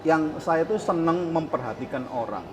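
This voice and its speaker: A man's voice: a little high, animated, moderate pace, background noise. A man from hosting a casual interview, dropping in English phrases. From Surabaya, East Java, Indonesia. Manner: mixes in English phrases, emphatic. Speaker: talk show host.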